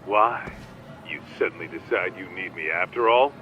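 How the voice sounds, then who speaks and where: An actor playing a brash, teasing detective's sidekick in a video game, sardonic and slangy. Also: male voice, sits low, moderate pace, highs cut off, soft background music, natural voice. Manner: sardonic, rough slangy talk. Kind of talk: video game dramatic acting.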